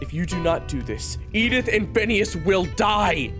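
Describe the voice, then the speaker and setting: A woman's voice: mid-range voice, animated, unhurried, soft background music, lightly acted. A woman voicing a loyal, grief-stricken young man in an audio roleplay, with urgent, emotional, pleading delivery. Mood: desperate, grieving. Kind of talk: audio roleplay drama acting.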